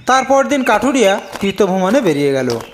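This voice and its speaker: A man's voice: high-pitched, very animated, fairly quick, a little hiss or hum. A man narrating a scripted fairy tale as a storyteller, with music playing behind him.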